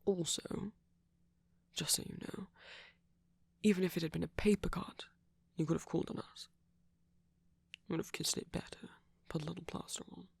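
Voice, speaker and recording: A woman playing a gentle, doting vampire boyfriend in a soft-spoken romantic ASMR roleplay, tender and lightly teasing, often whispering close to the microphone. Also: medium pitch, steady tone, normal speed, creaky, pauses often, husky, dry recording. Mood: concerned.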